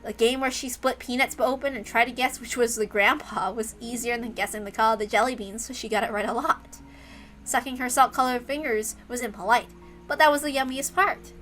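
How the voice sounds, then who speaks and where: A woman's voice: fairly high pitch, steady tone, brisk, fairly bright tone, faint music behind. A woman reading a visual novel aloud as its narrator, in scripted storytelling style.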